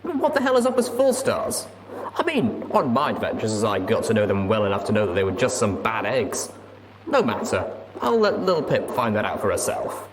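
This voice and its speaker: A man's voice: lowish voice, huge pitch swings, moderate pace, background noise. A man narrating a story expressively as host of a reading show.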